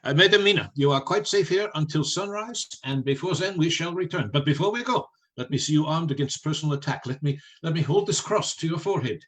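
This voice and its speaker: A man playing a learned, resolute vampire-hunting professor in a radio play, grave, measured and authoritative. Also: on the high side, animated, fairly bright tone. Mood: commanding, solemn.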